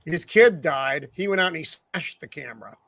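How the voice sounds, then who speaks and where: A man's voice: fairly high pitch, lively intonation, moderate pace, creaky, a bit bright, dry recording. Easygoing American man, dry sound. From United States. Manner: easygoing, recapping, laughs often. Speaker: podcast co-host. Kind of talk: casual group chat.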